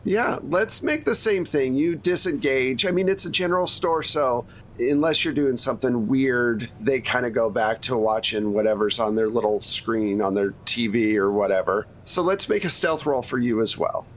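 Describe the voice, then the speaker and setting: Male game master over faint rumbling noise. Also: normal speed. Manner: conversational, improvising scenes and prompting players. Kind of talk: casual tabletop game narration.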